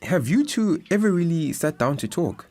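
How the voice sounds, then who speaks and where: Patient friend, animated intonation. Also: male voice, a little high, normal speed. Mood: patient persuasion. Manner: coaxing, reasoning. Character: patient, persuasive friend and mediator. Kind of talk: radio drama acting.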